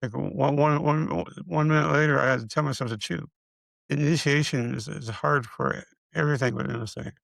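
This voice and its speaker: A middle-aged man speaks in a raspy, deeply gravelly voice, close and dry with no room sound. His speech halts, with stutters and repeated syllables as he runs short of breath. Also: normal speed, fairly bright tone, no echo. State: short of breath, struggling to get words out. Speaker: video creator sharing his illness progress. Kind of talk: casual personal health update.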